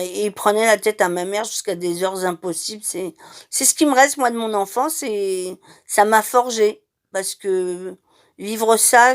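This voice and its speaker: A middle-aged woman from Madeira telling her life story in an unscripted, hesitant way, with many pauses and restarts. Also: medium pitch. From Madeira, Portugal. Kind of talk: personal testimony, unscripted.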